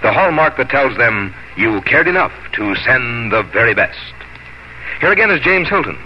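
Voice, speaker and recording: A male American radio announcer reads a scripted commercial with emphatic polish. His pitch moves in a lively way. The recording is dull vintage radio with slight noise. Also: moderate pace.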